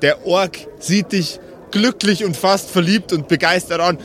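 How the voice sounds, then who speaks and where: A man with a slightly high voice serves as game master. He improvises narration for a tabletop role-playing game and puts questions to his players. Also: a little slow, a bit bright.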